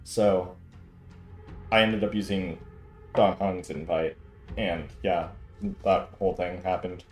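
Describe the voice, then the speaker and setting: A man's voice: deep voice, steady tone, slow. A man giving casual, chatty, rambling live commentary as a game streamer. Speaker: game livestreamer. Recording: roomy mic, faint game music.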